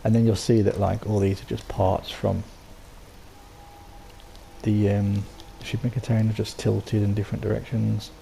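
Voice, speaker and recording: A man with a low, gravelly voice, made croaky by a sore throat. His tone is dark and mellow, and he rambles along with ums and false starts as he walks through his build. A faint hiss sits under the voice. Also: little pitch movement, measured pace. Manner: rambling, full of ums and restarts. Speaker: game settlement builder. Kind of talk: casual voice-over walkthrough.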